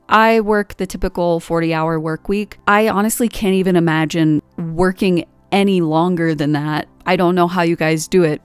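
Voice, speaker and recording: A woman's voice: fairly low pitch, clear, resonant tone, no room sound. A woman in her twenties from the United States, talking candidly and rambling through a reflective vlog monologue with lots of fillers. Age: 20s. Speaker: art vlogger.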